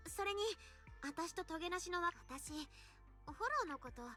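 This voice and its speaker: Very high, bright, bubbly game girl, curious and chatty, talks fast and bounces all over. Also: lively intonation, faint music behind, heavily acted. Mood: earnest. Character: curious cheerful young girl scholar. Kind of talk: Japanese game voice acting.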